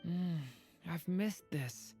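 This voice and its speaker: A woman voicing a young dragonborn boy in a video game, polite, earnest, innocent and soft-spoken. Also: on the low side, extremely slow, soft background music. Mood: wistful. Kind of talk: animated video game voice acting.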